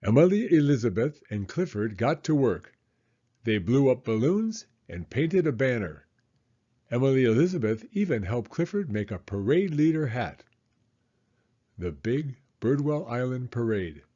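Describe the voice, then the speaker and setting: A man reading a children's story aloud in an expressive, deliberate storytelling manner. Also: mid-range voice, lo-fi sound. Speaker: storybook reader. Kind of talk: children's read-aloud narration.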